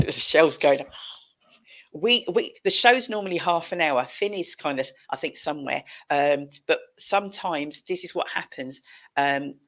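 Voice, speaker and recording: A woman's voice: deep voice, measured pace. A middle-aged British woman hosting a casual live chat show over a video call, effusive and informal, repeating phrases for emphasis. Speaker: talk show host. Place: indoor room at home, on a video call. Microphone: headset.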